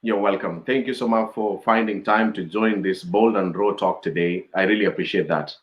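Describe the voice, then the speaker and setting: A man's voice: fairly low pitch, fairly even intonation, normal speed, lo-fi sound. A man hosting a casual interview over a video call, chatty and laughing often with many fillers. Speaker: host. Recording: echoey room.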